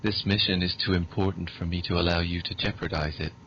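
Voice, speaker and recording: A man voices a robot butler with a very deep, measured delivery. It is eerily polite and coldly menacing, a deadpan film-parody machine. The recording is dull, with noise behind it. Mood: coldly calm. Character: calm, menacing male-voiced computer butler, coldly refusing.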